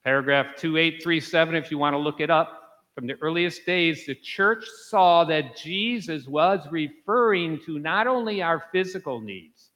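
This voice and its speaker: A man preaching a teaching homily at Mass, explaining slowly and correcting himself as he goes. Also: fairly high pitch, a bit bright, big-room sound. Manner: slow, explanatory, self-correcting with light laughs. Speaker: priest. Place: church.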